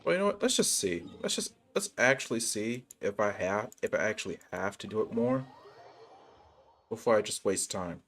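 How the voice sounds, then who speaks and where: Low-voiced man chatting on a game stream. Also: animated, normal speed, fairly bright tone. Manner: slangy, chummy with viewers. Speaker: livestreamer playing a video game. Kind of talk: casual gaming stream chat.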